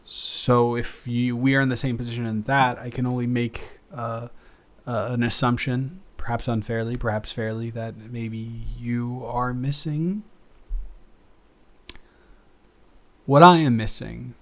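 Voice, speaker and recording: A man's voice: medium pitch, steady tone, moderate pace, fairly bright tone, close to an everyday voice. A man playing a shy, affectionate roommate in an improvised intimate audio roleplay, speaking in a hesitant, rambling way with stammers. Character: shy, affectionate male roommate confessing to best friend.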